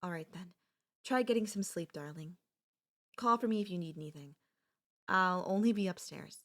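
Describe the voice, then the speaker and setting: A low-pitched, soft voice belongs to an obsessive young childhood friend, as a woman plays her in an ASMR roleplay. She talks with a sweet, calm menace and often sinks to a whisper. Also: normal speed, natural voice. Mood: tender.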